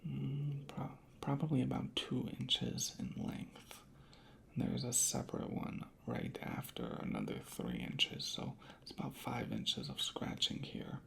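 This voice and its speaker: Soft whispery asmr person, super crackly fry, takes their time, right up on the mic. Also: medium pitch, wildly swooping pitch. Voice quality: breathy, whispery, creaky soft voice. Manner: unhurried, methodical, murmuring. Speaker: ASMR creator playing a record inspector. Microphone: close microphone.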